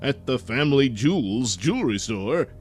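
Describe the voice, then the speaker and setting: A man's voice: mid-range voice, expressive, moderate pace, faint music behind. A man narrating a comedy audio play in a mock-dramatic, storytelling way. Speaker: narrator and performer.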